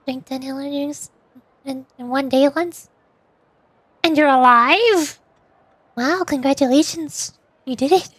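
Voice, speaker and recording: A woman with a very high voice talks casually to her livestream audience during a game. She is playful and giggly, fond of light teasing. The sound is dry and close, with very little room in it. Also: steady tone, moderate pace, a little mellow, no echo, rough recording. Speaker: VTuber livestream host. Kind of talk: casual chat with stream viewers while playing a game.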